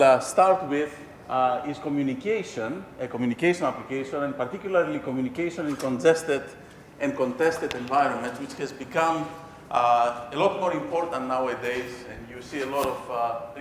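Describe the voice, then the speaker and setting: A man giving an academic lecture as an invited professor, measured and explanatory, with frequent fillers. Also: medium pitch, a little hiss or hum. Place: large lecture hall. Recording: echoey hall with faint ambience.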